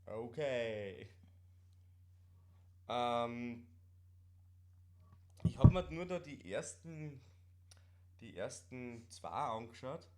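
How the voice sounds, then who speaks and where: A man's voice: mid-range voice, animated, extremely slow, long pauses, very noisy. A man with an Austrian accent chatting casually as co-host of a live stream, in colloquial dialect and often repeating words.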